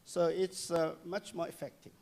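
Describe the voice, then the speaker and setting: A man giving a public lecture and answering audience questions, speaking haltingly with many fillers and restarts. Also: fairly high pitch, flat delivery, a little mellow. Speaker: guest lecturer. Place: lecture hall.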